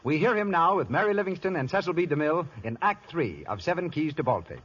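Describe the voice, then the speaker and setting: A man's voice: fairly high pitch, big pitch swings, quick pace. An American man announcing in the formal style of old-time network radio. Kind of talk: 1930s-40s radio announcing. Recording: muffled old radio broadcast with music.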